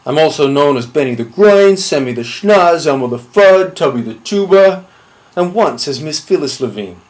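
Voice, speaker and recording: Shady, blustering male mobster, acted by a man: higher pitch, loud, rambling, comic self-importance. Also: lively intonation, moderate pace, natural voice. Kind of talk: comic monologue.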